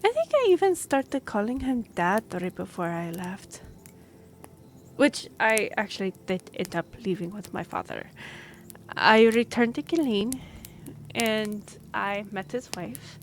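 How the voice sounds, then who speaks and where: A woman's voice: medium pitch, big pitch swings, a little slow. A woman playing a friendly, earnest young half-elf royal messenger in a fantasy audio roleplay, speaking a little hesitantly. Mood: wistful, reminiscing. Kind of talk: fantasy audio roleplay, one-sided conversation. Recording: noisy track with background ambience.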